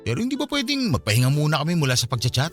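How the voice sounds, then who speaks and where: A man's voice: a little high, huge pitch swings, rapid-fire, soft background music. A man acting a frustrated young boyfriend in a Filipino radio drama, venting in a conversational, complaining way with a Filipino accent. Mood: exasperated.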